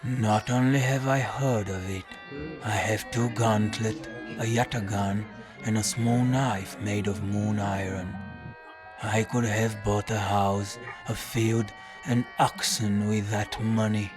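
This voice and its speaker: An actor playing a wry, weary folk-hero detective in an audio drama, speaking in a measured, deliberate way. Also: male voice, lowish voice, steady tone. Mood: dry, curious. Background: music.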